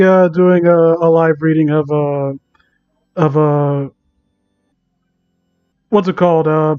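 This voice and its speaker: A man doing a casual livestream reading and commentary, exasperated, sarcastic and sweary, with a halting delivery. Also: sits high, darkish tone, clear, resonant tone, muffled recording. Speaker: live streamer reading and mocking online fan fiction.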